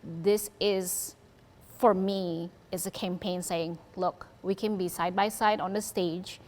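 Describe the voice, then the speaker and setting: A woman's voice: on the low side, steady tone, takes it slowly, a little mellow. A woman performer speaking earnestly and reflectively in a documentary interview. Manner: earnest and reflective, casual fillers.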